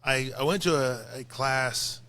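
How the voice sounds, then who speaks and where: A man's voice: medium pitch, a little slow, pushed and bright, no echo. A tired man with a slightly crackly voice, speaking earnestly and reflectively as a guest in a casual podcast conversation. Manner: earnest, reflective, repeats phrases.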